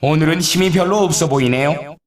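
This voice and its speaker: An actor playing an excitable, teasing male snowboard race announcer in a video game, with polite, formal phrasing and playful sarcasm. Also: fairly high pitch, fairly quick, reverberant, recorded from a distance, crowd noise, natural voice. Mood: encouraging. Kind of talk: scripted game sports commentary.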